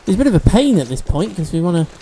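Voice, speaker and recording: Gaming host, male, mellow tone, sniffly, chatty walkthrough delivery. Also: sits high, very animated, very fast, a little hiss or hum. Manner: chatty, explains game mechanics as he plays. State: sniffly from allergies. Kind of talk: let's-play commentary.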